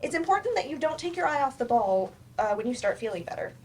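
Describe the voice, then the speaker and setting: Therapist role, female actor: high-pitched, animated inflection, measured counsel, stage reading in a live room. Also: slight background noise. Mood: advising, caring.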